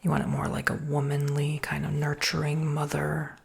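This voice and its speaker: A woman whispering softly in an ASMR roleplay as a salesperson, with a breathy, creaky voice and reassuring customer-service patter. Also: low voice, completely flat delivery, normal speed.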